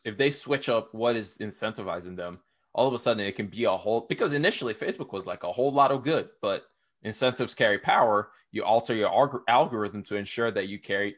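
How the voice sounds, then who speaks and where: A young man hosting a podcast interview, talking in a casual, slangy, animated way and laughing often. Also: lowish voice. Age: young adult. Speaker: podcast host.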